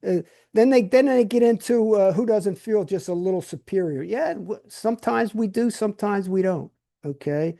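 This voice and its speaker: A man's voice: high-pitched, moderate pace, creaky, dark tone. Blunt streetwise older man. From New Jersey. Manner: blunt, streetwise, repeats and restarts words. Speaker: podcast host. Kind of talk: casual monologue.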